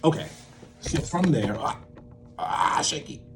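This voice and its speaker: A young man explaining in a casual, halting way, thinking aloud and often repeating and checking himself. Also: on the high side, expressive, background noise. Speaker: creator teaching a creative method.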